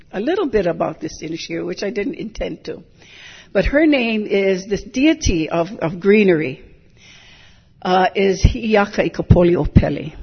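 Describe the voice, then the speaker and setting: Husky older woman, measured talk. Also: mid-range voice. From Hilo, Hawaii. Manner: measured, thoughtful, digressive. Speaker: hula teacher giving a talk. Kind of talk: reflective public talk. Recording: dull lo-fi recording.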